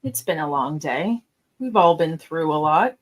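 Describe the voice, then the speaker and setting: A woman playing a calm, gently flirtatious male first officer in an amateur sci-fi radio play. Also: deep voice, a little slow. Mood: gentle, curious.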